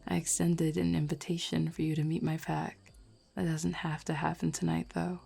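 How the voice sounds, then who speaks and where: A woman voicing a flirty, self-assured female werewolf in an intimate roleplay audio, teasing softly and without hurry. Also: low-pitched, level tone, normal speed, vocal fry, breathy edge, no echo, exaggerated voice. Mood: flirty, confident.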